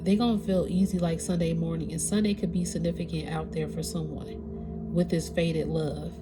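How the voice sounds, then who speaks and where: A woman's voice: fairly low pitch, little pitch movement, moderate pace, over music. A woman with a stuffy, congested nose, giving a casual spiritual reading to her audience and now and then searching for the right word. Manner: casual, conversational, searching for words. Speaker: tarot reader.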